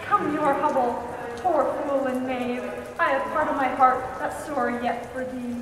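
A young woman voicing a frail, cold, tender old male king, gentle and weary. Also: high voice, fairly even intonation, measured pace, crowd noise. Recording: echoey room, far from mic, background noise.

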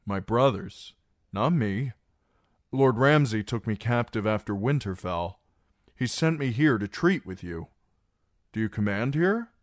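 A man's voice: medium pitch, expressive, unhurried, dry recording, clean studio sound, pitched above the performer's own voice, lightly acted. A man reading an audiobook voices a broken young man who strains to sound like a prince. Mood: pleading.

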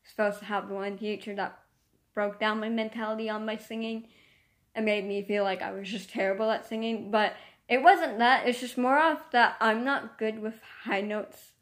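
A teenage girl venting in a rambling, casual monologue, filmed on a phone at arm's length in a small room. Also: mid-range voice. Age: teens. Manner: rambling, restarts and trailing asides. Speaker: vlogger. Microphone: phone camera at arm's length.

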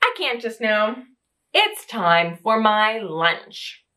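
A small mouse from a picture book, convinced it is a tiger, stretching out each word with calm conviction, as a woman puts it on. The voice is bright and ringing. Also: medium pitch, slow pace, exaggerated voice. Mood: insistent.

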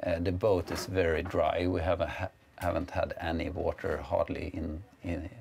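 Swedish guy in his 50s showing off his sailboat, low gravelly voice, slow and halting with lots of uhs, lapel mic in a wooden cabin. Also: level tone, a little mellow, slight background noise. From Sweden. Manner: hesitant, many "uh" fillers, friendly. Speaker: sailing vlogger giving a boat tour. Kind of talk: casual guided tour. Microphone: clip-on lapel mic.

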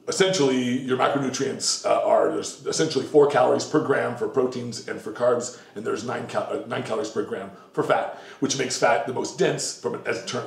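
A middle-aged man with a gravelly, mid-pitched voice talks casually and at length, stumbling over fillers and correcting himself as he goes. He is recorded from a few feet away in a home kitchen, and the room echoes around his voice. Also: a little mellow. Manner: rambling, many fillers and self-corrections. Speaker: diet coach guest. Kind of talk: casual explanatory talk. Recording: echoey kitchen, mic at a distance.

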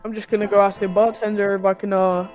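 A man playing a polite, folksy Wild West sheriff in an improvised game roleplay, speaking haltingly with many pauses. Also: high-pitched, little pitch movement, lightly acted. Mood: friendly, casual. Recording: dull muffled audio with guitar music behind.

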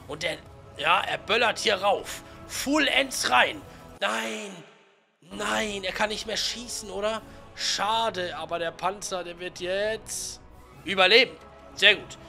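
A man in his twenties with a cold, giving chatty, slangy, excited commentary on a video game over faint music. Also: sits high. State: has a cold. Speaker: gaming YouTuber.

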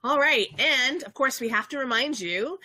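A woman in her thirties, stuffed up with a summer cold, co-hosting a casual livestream from home in an excitable, chatty way with plenty of ums. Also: sits high, normal speed, no room sound. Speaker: co-host of a game studio livestream. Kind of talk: casual live chat. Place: home room with bookshelves, indoors.

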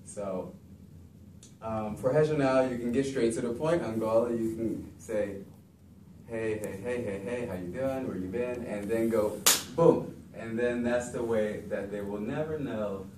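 A man's voice: on the low side, unhurried. Young man talking in an echoey classroom. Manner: relaxed, conversational, frequent "you know". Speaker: capoeira teacher. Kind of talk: informal classroom talk. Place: large classroom, indoors. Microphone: far camera. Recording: echoey room, distant mic, background noise.

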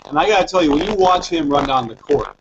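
A man's voice: fairly high pitch, animated, quick pace. A man in his forties with an American accent hosting a casual basketball chat over a video call from a living room. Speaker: interview host, basketball coach. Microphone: video call, computer microphone. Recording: dull, noisy video-call audio.

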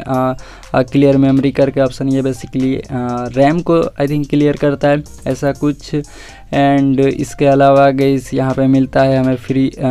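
A man's voice: mid-range voice, warm tone, clear, resonant tone. A man giving a casual phone feature walkthrough, mixing English words into informal speech. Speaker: tech reviewer.

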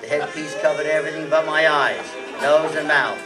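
A wisecracking hard-boiled detective, played by an older man in a live radio play. His voice sits a little high and is dry and sardonic, picked up from across a hall with music behind. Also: steady tone, brisk, far from the mic, lightly acted. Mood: wry narration. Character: wisecracking, world-weary male private detective. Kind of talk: amateur radio play reading before an audience. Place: stage of a community meeting hall.

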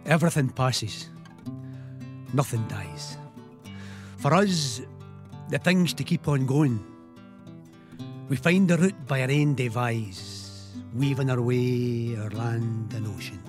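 A man's voice: medium pitch, takes it slowly, long pauses. A man reciting poetry. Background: guitar music.

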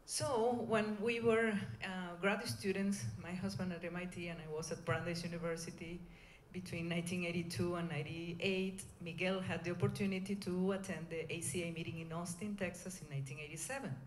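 A middle-aged woman with a Venezuelan Spanish accent gives an informal conference talk, speaking in a hesitant, halting way. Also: lowish voice, steady tone. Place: indoor conference room. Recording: echoey room, clear background noise.

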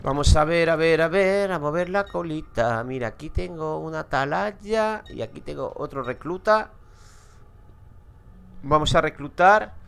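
This is a man from Madrid with a Madrid Spanish accent, talking casually over his gameplay into a headset. His voice sits high and comes through smooth and clean. He rambles through stories, swears freely and chuckles at himself. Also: measured pace, fairly bright tone. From Madrid, Spain. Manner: chatty, swears, laughs at own stories. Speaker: gaming streamer. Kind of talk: casual let's-play commentary.

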